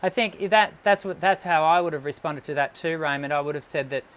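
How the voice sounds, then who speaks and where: A man, a voice teacher, hosts a live stream, reading viewer questions and answering in a measured way. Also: a little high, fairly bright tone. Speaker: host and voice teacher. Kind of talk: live-stream show hosting.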